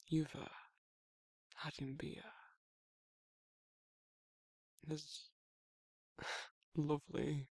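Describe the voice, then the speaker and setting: Casting: man to act as tender, guarded male werewolf for ASMR roleplay; slightly high-set but husky voice, hesitant, rambling delivery. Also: no echo, exaggerated voice. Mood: bashful, candid. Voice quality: husky, breathy, gravelly. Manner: hesitant, halting, rambling, informal. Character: guarded, soft-hearted male werewolf shyly confessing affection. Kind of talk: intimate ASMR roleplay monologue.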